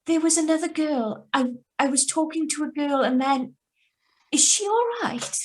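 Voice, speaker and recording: A woman acting a hesitant, high-voiced young woman. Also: normal speed, close to an everyday voice. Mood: anxious, shaken. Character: troubled young woman, accident survivor, hesitant and brooding. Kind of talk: radio drama acting with first-person narration. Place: remote, on a Zoom video call. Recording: live video call reading.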